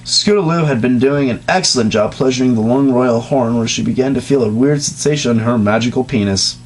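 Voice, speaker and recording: A man's voice: medium pitch, fairly quick, darkish tone, rough recording. A man reading a story aloud to a friend in a mock-serious tone, stopping often for teasing jokes. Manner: mock-serious narration broken by teasing asides. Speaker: reader of a fan story. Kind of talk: comic dramatic reading among friends.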